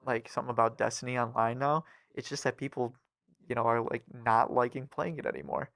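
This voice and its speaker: Young male co-host for casual podcast chat; average pitch, very dry sound. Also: fairly even intonation, fairly quick, vocal fry, fairly bright tone, dry recording. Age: young adult.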